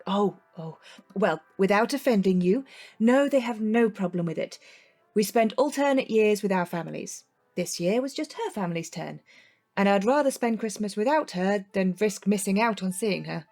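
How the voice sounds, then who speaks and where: A woman's voice: medium pitch, expressive, moderate pace, darkish tone, faint music behind. Frank, dryly teasing woman. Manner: direct, dry. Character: candid, dryly teasing woman, unafraid to challenge. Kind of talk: audio drama acting.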